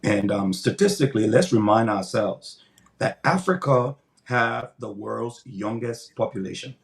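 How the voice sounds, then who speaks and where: A man's voice: lowish voice, unhurried, vocal fry. An older man, a pastor giving earnest, emphatic interview answers with a preaching cadence, often repeating words as he builds his points.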